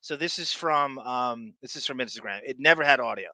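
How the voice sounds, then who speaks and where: Bright-voiced, rambling male podcast host. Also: medium pitch, fairly quick, no echo. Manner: rambling, repeats phrases, jumps between asides. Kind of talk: casual live chat show.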